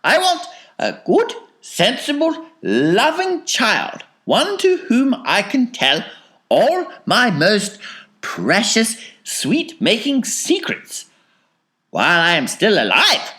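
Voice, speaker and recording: Woman voicing a bubbly old male candy maker, eccentric, high and swooping, bright exclamations, storybook. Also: wildly swooping pitch, slow, full character voice. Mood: excited. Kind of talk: storybook read-aloud.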